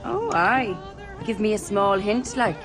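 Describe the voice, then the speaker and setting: A woman's voice: mid-range voice, big pitch swings, unhurried, music in the background, crowd noise. An actress playing a bold, bawdy, flirtatious tavern woman in a video game, teasing and colloquial. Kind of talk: video game cutscene acting.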